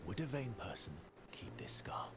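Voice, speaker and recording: A man's voice: mid-range voice, big pitch swings, moderate pace, in a whisper, close to an everyday voice. A man playing a wry, guarded man with a scarred past in naturalistic video game dialogue, understated and dryly teasing. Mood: wry, confiding. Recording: prominent game music behind dialogue.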